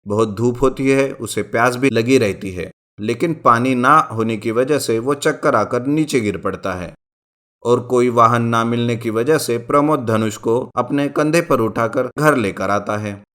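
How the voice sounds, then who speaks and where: A man reading a scripted folk tale as a storyteller, in narration for an animated story. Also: medium pitch, unhurried. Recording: music bed behind the voice. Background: background music.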